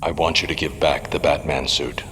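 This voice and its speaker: An actor playing a stern, brooding old retired hero in an audio drama, gruff, grave and terse. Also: male voice, rumbling low, fairly even intonation, normal speed, sharp tone, a little hiss or hum. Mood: solemn. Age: older. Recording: long cavernous reverb.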